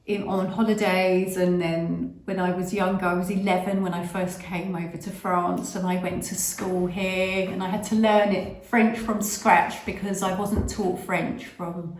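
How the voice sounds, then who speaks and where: A woman's voice: on the low side, flat delivery, measured pace, few pauses. A middle-aged British-born woman whose first language is English, co-hosting a casual chat vlog, rambling with restarts. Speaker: vlog co-host. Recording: echoey room.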